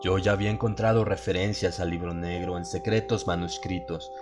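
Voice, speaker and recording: A man's voice: deep voice, fairly even intonation, a little fast, loud music behind the voice. A man narrating a horror audiobook in a solemn, dramatic style.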